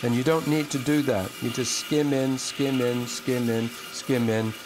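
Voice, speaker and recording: An older man explains his craft in a calm, measured way, often restarting his sentences as he goes. He talks at a middle pitch while he works a band saw in his workshop. The whine of the power tool runs clearly beneath his voice. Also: noisy background. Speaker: shoemaker and last carver. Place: workshop, indoors, next to a running band saw.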